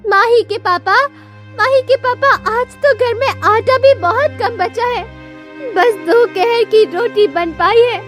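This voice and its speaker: A woman voicing a gentle, worried, plaintive wife and mother from a poor village in a cartoon story. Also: very high voice, slow, loud music behind the voice. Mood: anxious, caring.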